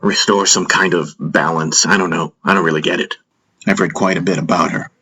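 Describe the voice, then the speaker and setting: A man narrating a first-person fantasy audiobook in a solemn, measured, reflective manner. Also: low voice, fairly even intonation, bright, forceful tone, breathy edge, rough recording.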